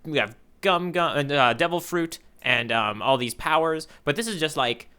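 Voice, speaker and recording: A man's voice: fairly high pitch, lively intonation, moderate pace, bright, forceful tone, clean tone. A man co-hosting a podcast in casual conversation, peppering his talk with "you know". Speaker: podcast co-host. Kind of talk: casual chat recapping a manga arc.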